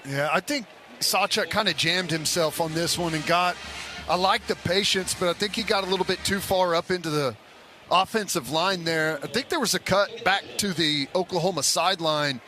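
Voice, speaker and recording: A male color commentator with a high, bright voice breaks down football plays conversationally. He speaks from a stadium broadcast booth. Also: normal speed, slight background noise.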